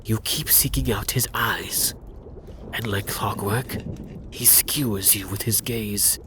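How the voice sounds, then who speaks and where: Lowish guy doing asmr story narration, hushed and close, kinda dramatic, noticeable background noise. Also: steady tone, slow pace. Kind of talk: ASMR scripted second-person fantasy narration.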